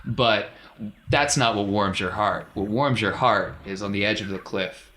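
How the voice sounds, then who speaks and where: A man's voice: lowish voice, a little slow, a bit bright. Casual male game master, faint noise. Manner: casual, descriptive. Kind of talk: narrating a tabletop roleplaying game.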